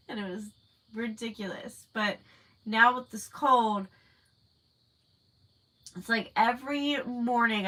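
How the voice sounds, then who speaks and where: A woman's voice: mid-range voice, a bit bright, low-quality recording. A woman in her thirties with a stuffy nose from a cold, chatting casually and rambling on a livestream as she answers viewers. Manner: chatty and rambling, many fillers. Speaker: livestream host. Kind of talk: casual live chat answering viewer comments.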